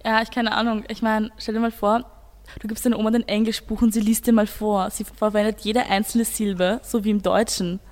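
A woman's voice: medium pitch, little pitch movement, brisk, darkish tone. A female teenage student with an Austrian accent, talking informally on a radio show. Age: teens. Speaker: student radio host and panelist. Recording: long hall-like reverb.

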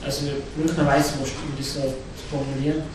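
A man's voice: mid-range voice, flat delivery, brisk. A man answering a question in a plain, matter-of-fact way. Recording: distant echoey hall, noisy.

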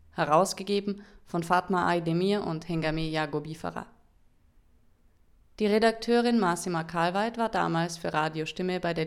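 A woman presents a radio programme from a script. Her voice is on the low side, with plenty of vocal fry as she reads out the announcement. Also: a little mellow. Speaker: radio host. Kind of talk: scripted radio sign-off narration.

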